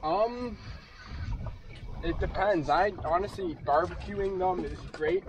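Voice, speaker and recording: A young man on a fishing boat, calmly explaining how fish taste and cook, recorded on an action camera out at sea. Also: high voice, slow, distant mic, poor audio. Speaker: fishing partner. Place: small boat on open sea, outdoors. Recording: wind and boat noise outdoors.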